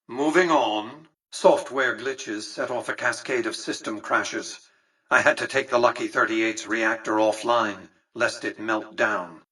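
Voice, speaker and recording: A man's voice: mid-range voice, sharp tone, slightly rough, distant mic, low-quality recording. An actor playing an imperious, calculating older male tycoon in a video game, speaking in a formal, precise and patronizing way. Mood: measured, condescending. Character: imperious, calculating elderly male tycoon, coolly visionary mastermind. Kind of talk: scripted video game character dialogue.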